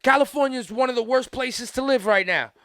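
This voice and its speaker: A man's voice: very high voice, fairly quick, fairly bright tone, clean tone, no room sound, studio quality. An American man hosting a podcast, ranting casually about politics in an argumentative, emphatic way with heavy slang and swearing. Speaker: podcast host.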